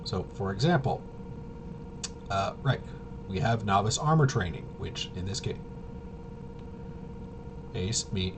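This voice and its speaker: Lowish voice guy rambling thru game rules off the cuff, kinda halting, noisy rumbly audio. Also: animated. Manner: halting, rambling, thinking aloud. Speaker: tabletop RPG reviewer and explainer. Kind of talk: casual unscripted rules walkthrough. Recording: clearly noisy with low rumble.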